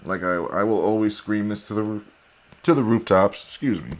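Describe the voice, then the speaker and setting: A man's voice: on the low side, moderate pace. A man talking casually and emphatically to his viewers, saying he is losing his voice. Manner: emphatic, argumentative, addresses viewers as guys. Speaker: video host.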